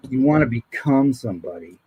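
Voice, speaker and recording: A man chatting casually as a guest voice actor, explaining his craft in an animated, loose way. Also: medium pitch, a little fast, highs cut off, a little hiss or hum. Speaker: character voice actor, podcast guest. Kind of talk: casual interview chat.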